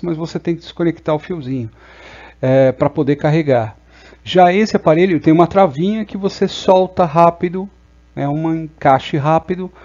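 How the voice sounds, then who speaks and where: A man's voice: on the high side, dark tone, muffled recording. A Brazilian man presenting a casual product review in an explanatory way, often checking in with short tag questions.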